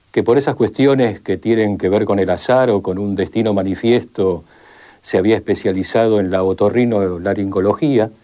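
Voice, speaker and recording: An Argentine man giving an unscripted explanation as an expert being interviewed about history. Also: on the low side. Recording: slightly dull audio.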